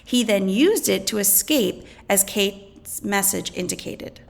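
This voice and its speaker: A woman's voice: medium pitch, animated, slow, creaky. A woman reading educational material aloud as a teacher, in an instructional way that explains and poses questions. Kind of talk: scripted educational read-aloud narration.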